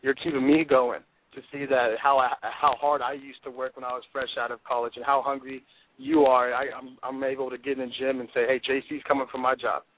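A man's voice: medium pitch, little pitch movement, brisk. A man in his twenties, a football player being interviewed, talking in an intense, confident, driven way over the phone. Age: 20s. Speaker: football player, draft prospect guest. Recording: dry tinny phone line.

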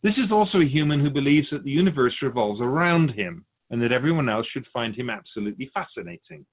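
A man playing a patient, lofty spirit guide who teaches in measured, formal phrases in a scripted audio drama. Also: medium pitch, big pitch swings, phone-quality sound. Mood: grave, instructive. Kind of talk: scripted dialogue in a fiction podcast.

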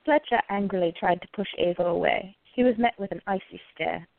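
Woman narrator, scripted story, gravelly creak, dry close sound, narrow phone-like band. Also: mid-range voice, brisk, dry recording.

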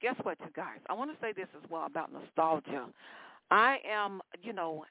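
A woman's voice: lowish voice, expressive, moderate pace. A woman in her sixties talking casually on air as a radio DJ between songs, chatty and repetitive, addressing listeners as y'all and baby. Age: 60s. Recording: phone-like lo-fi, dry sound.

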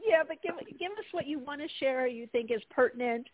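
A woman's voice: high-pitched, fast talker. A woman hosting an interview over a video call, bubbly and chatty, asking long rambling questions. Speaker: interview host. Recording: dry, phone-like narrow line.

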